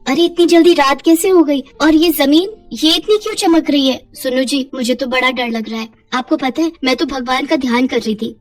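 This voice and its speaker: An anxious young village wife in a storybook tale, acted by a woman. Her voice is high and fretful, full of pleading questions, yet sensible. Music runs behind it. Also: close to an everyday voice. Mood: frightened, puzzled.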